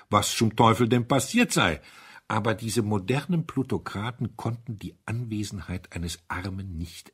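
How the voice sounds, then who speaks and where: Deep, very husky male audiobook narrator. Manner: measured, expressive storytelling with wry formality. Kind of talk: scripted literary narration.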